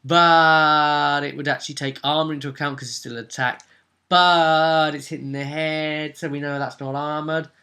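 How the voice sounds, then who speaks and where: A man's voice: a little high, level tone, normal speed, bright, forceful tone. A man in casual conversation, recapping a tabletop roleplaying session as game master and explaining things chattily, thinking aloud.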